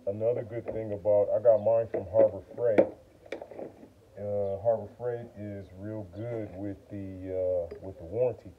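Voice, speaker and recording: A noisy outdoor phone recording of a middle-aged man with a fairly low voice. He talks informally and haltingly as he works, explaining things in folksy, slangy terms. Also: little pitch movement, narrow, tinny audio. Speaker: vlogger demonstrating generator maintenance. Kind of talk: casual how-to chat while working. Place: outside by a wooden porch.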